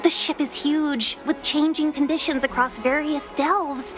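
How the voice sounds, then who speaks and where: A woman's voice: high-pitched, steady tone, measured pace. A woman playing a curious young woman in a video game, reasoning in a conversational, analytical way. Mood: thoughtful. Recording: music playing behind the voice.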